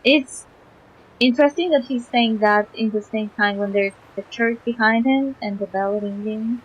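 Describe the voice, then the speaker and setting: A woman streamer giving casual live game commentary, musing and halting as she thinks aloud. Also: a little high, muffled recording, slight background noise.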